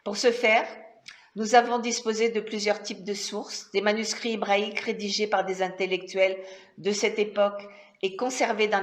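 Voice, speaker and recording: A woman author presenting her book at a talk, partly reading aloud and adding spoken asides. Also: mid-range voice. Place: indoor talk venue. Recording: echoey room.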